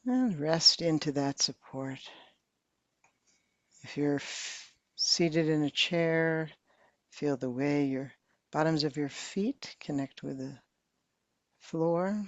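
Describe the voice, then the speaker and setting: A woman meditation teacher slowly leading a guided meditation in a soft, calm voice with long pauses. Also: deep voice, no echo, lo-fi sound.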